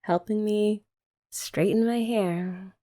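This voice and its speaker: A woman's voice: medium pitch, steady tone, slow, warm tone, natural voice. A young woman voicing a playful, affectionate girlfriend in an ASMR roleplay, chatting casually with giggles and filler words. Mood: tender.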